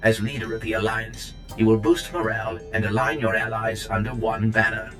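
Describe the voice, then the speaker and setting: A man's voice: fairly low pitch, completely flat delivery, fairly quick, lightly acted. A man playing a coolly formal, loyal military droid in a sci-fi game, clipped and unemotional. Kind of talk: scripted video game dialogue. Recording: music behind the voice.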